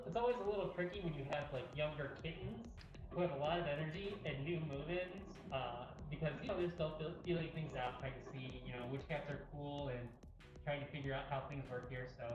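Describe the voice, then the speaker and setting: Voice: medium pitch, fast talker. A livestream co-host at a cat cafe, explaining things in a casual, informal way. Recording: dull, distant, echoey room audio. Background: clearly audible music.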